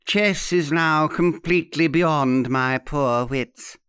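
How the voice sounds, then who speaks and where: A man's voice: fairly high pitch, fairly bright tone, clean tone, no room sound, studio quality. Man putting on an unctuous mocking old woman. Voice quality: unctuous, slow and drawn-out.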